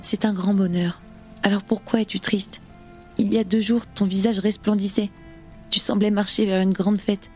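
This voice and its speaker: An actress playing a grave, proud and sorrowful woman revolutionary in a French radio play, with solemn, lyrical, measured delivery. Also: medium pitch, level tone, a little mellow, faint music behind, natural voice. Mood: tender concern. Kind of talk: French radio theatre acting.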